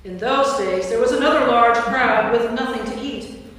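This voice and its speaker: A woman's voice: on the high side, normal speed, fairly bright tone, lightly acted. A woman giving scripted narration for a staged scripture reading in a church. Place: inside a large church. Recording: distant, very echoey church hall.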